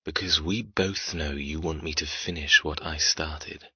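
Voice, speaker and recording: A man playing a menacing, cruelly amused captor in an audiobook, with a deep, dark murmur and slow, commanding delivery. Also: fairly even intonation, husky, dry recording, full character voice. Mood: menacing, seductive. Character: menacing, cruelly amused man who toys with his captive. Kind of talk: audiobook character dialogue. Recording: slightly muffled, dry studio sound.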